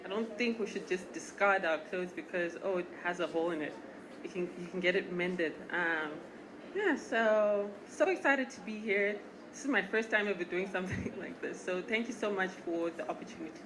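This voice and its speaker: A woman's voice: lowish voice, moderate pace, noisy background. A woman fashion entrepreneur talking casually about her work at a live event, with lots of ums and small laughs. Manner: chatty, many ums, laughs a little. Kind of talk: casual onstage talk. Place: event hall at a live-streamed panel.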